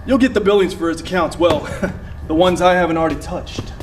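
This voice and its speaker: A man's voice: high-pitched, fairly quick, natural voice. An actor playing a cocky, ambitious young advertising man in a stage play, smug and self-assured. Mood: sly, cocky. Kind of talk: live stage play acting. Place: theatre stage, recorded from far off. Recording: distant roomy theatre recording, faint noise.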